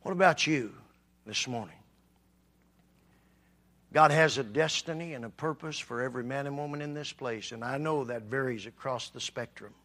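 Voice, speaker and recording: A man preaching a sermon, swinging from quiet talk to loud, shouted passages. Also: mid-range voice, normal speed. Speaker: pastor.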